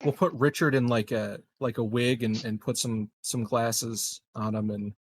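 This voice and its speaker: A man playing a tabletop roleplaying game, chatting casually and repeating words haltingly as he plans. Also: mid-range voice, steady tone, breathy edge, dry recording. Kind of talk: casual in-character game chat.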